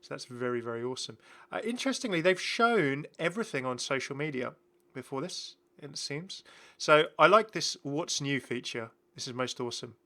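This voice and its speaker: A man's voice: fairly high pitch, lively intonation, moderate pace, bright, forceful tone. A man presenting a gaming video in rambling, casual commentary, chatty and enthusiastic as he goes off on tangents.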